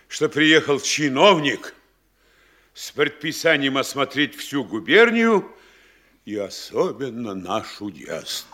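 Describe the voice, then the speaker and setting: A fretful, pompous town mayor. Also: male voice, mid-range voice, fairly bright tone. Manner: measured, weighty, fretful, storytelling. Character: anxious, self-important provincial male town mayor. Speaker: actor in a radio play. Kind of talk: classic studio radio drama acting.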